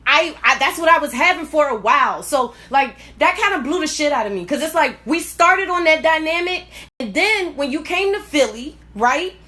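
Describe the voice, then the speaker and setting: A woman telling a personal story casually and candidly, blunt and profane, with lots of slang and animated acting-out of her reactions. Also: high-pitched, normal speed. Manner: animated, acts out quoted reactions. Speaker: storyteller hosting own vlog.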